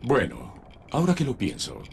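An actor playing a ruthless, smug official in a Latin American Spanish audio drama, menacing and condescending. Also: male voice, medium pitch, wildly swooping pitch, moderate pace, vocal fry, slight background noise. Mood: cold, menacing. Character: ruthless, smug, murderous male official fleeing a doomed city. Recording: voice over loud background ambience.

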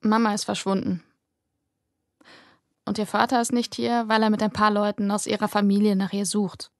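A woman's voice: medium pitch, level tone, moderate pace, no room sound. Woman narrating fiction in a dry recording. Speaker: audiobook narrator. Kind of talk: scripted fiction narration, present tense.

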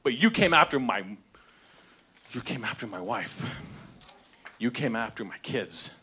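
A man's voice: medium pitch, very animated, measured pace, sharp tone, breathy edge. A man in his thirties speaking angrily and emotionally into a handheld microphone at a crowded town hall meeting, confronting his congressman. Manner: angry, confrontational, rising in emotion. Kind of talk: impassioned public speech. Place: large crowded meeting room indoors.

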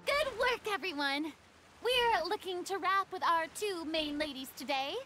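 An actress playing an enthusiastic film director who speaks energetically and commandingly. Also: female voice, very high-pitched, animated, measured pace, pushed and bright, faint music behind, exaggerated voice. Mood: upbeat. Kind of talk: video game voice acting.

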